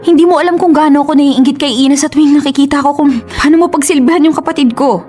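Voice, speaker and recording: An actress playing a conflicted young woman in a Filipino radio drama, protective of her sister, emotional and quick to scold. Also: sits high, mellow, lightly acted. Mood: hurt confession. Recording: music under the voice.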